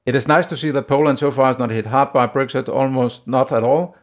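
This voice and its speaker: A man from Denmark with a Danish accent, hosting a solo business podcast in a steady, plain way with frequent "uh" pauses. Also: medium pitch. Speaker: podcast host.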